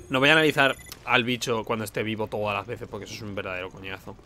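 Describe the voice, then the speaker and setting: A man's voice: fairly low pitch, pushed and bright, slight background noise. Casual male game streamer, Spain accent, informal and sweary, talks to himself over noticeable game sound. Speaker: let's play host. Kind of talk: casual gameplay commentary.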